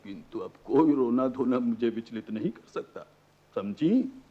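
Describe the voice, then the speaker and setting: A man's voice: mid-range voice, animated, unhurried, natural voice. An actor playing an older, ruined lawyer and affectionate father in a radio play, speaking with poetic, ironic self-mockery. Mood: wry, teasing. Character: ageing, embittered but affectionate father, a ruined lawyer given to verse. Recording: muffled archival radio recording.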